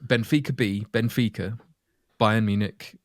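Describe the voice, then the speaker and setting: A man hosting a football quiz on a casual podcast, reading out clues in steady lists. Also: on the low side, unhurried, no room sound, clean studio sound. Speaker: quiz host.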